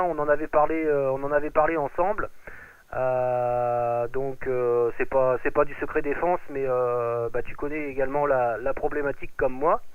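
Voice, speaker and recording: A man's voice: a little high, clear, resonant tone, no room sound. A man chatting casually as an amateur radio operator, hesitant and repeating his phrases as he goes, over a radio transmission. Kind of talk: casual radio contact chat. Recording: dull, dry lo-fi radio transmission.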